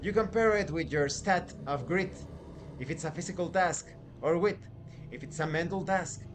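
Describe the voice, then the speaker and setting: A man giving an informal spoken review as a show host, explaining in a halting way with conversational asides. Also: fairly high pitch, noisy background. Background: background music.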